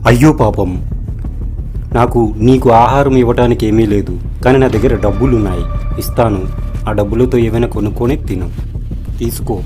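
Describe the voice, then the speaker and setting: A man's voice: medium pitch, fairly quick, pauses often, music in the background, natural voice. A man playing a kind, noble village hero in a cartoon story, speaking politely and earnestly. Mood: compassionate.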